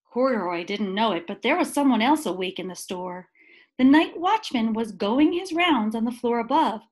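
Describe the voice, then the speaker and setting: A woman reading a picture book aloud to young children, expressively, with deliberate dramatic pauses and emphasis. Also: on the high side, lively intonation.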